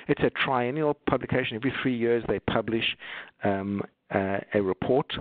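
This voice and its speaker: A middle-aged South African man giving an unscripted webinar talk on obstetrics, halting, with many fillers and restarts. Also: lowish voice, no room sound. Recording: tinny phone-like call audio, dry.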